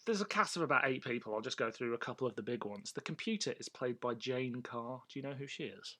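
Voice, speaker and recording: Bright-voiced man chatting casually. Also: mid-range voice, lively intonation, fast. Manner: sweary, jokey, informal. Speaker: podcast host. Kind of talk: casual chat, review discussion.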